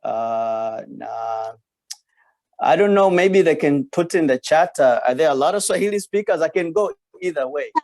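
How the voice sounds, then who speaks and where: A man's voice: fairly high pitch, lively intonation, moderate pace, darkish tone, no echo. A man, a radiologist, speaking over a video call in a hesitant manner, full of fillers and switching between Swahili and English. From Tanzania.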